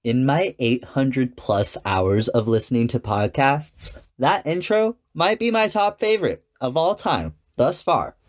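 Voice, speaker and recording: A man's voice: mid-range voice, expressive, measured pace, few pauses, slight background noise. A man hosting a solo podcast, talking conversationally in an earnest, enthusiastic manner. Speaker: podcast host.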